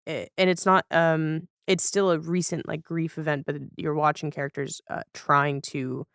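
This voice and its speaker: A woman's voice: sits low, little pitch movement, normal speed, a bit bright, clean tone, no room sound, studio quality. A woman chatting casually as a podcast guest, thoughtful and often searching for words as she talks about television.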